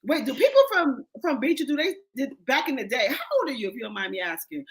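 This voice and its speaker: A woman hosting a podcast, telling stories in a loud, profane, animated way. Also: high-pitched, fast. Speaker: podcast host. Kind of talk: casual chat.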